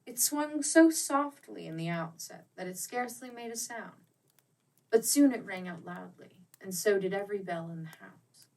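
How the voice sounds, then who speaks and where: A woman narrating a classic ghost story as an audiobook, with theatrical, expressive and deliberate phrasing. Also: medium pitch, recorded from a distance, rough recording. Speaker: audiobook reader.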